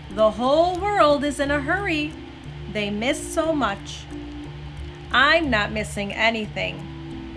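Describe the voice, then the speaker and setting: A woman reading a children's story aloud in an expressive, gentle storytelling manner. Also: high voice, low-quality recording. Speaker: mother reading aloud on a family story-time show. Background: background music.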